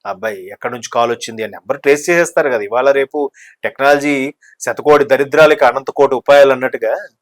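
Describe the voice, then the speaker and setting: A man's voice: medium pitch, fast. A man giving conversational political commentary, talkative and explanatory, mixing in English words. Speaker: news discussion commentator.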